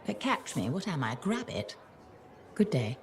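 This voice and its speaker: An actress playing a scatterbrained, affectionately bossy chronicler in a video game, with genteel, wordy, theatrical phrasing. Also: female voice, deep voice, big pitch swings, normal speed, a little hiss or hum. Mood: earnest, lofty. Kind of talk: video game character dialogue.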